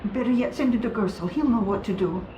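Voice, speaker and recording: A woman's voice: on the high side, fast talker, muffled recording, natural voice. A woman playing an anxious, practical villager in a video game, urgently advising caution. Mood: anxious urging. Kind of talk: video game cutscene acting. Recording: roomy echo, faint background noise.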